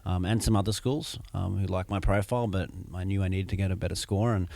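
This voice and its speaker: A low-voiced Australian man in his thirties with a gravelly edge. He answers an interviewer's questions in a measured, unhurried way, stopping often to find his words. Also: steady tone, warm tone, no room sound. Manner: measured, many ums and restarts. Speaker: MBA student guest. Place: business school campus during a conference.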